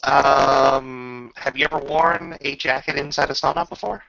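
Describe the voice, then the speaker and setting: A man working as game master, narrating a tabletop roleplay and talking rules casually in a halting way, pausing mid-sentence. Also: mid-range voice, fairly even intonation, vocal fry, bright, forceful tone, no echo, muffled recording.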